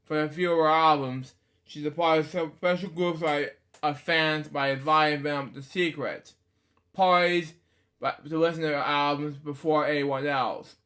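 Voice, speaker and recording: Male host, 20s, voice a bit high, halting casual read-aloud with rambling asides. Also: fairly even intonation, a bit bright. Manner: halting, stumbles over words while reading; rambling asides with repeated catchphrases. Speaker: host of a story reading series.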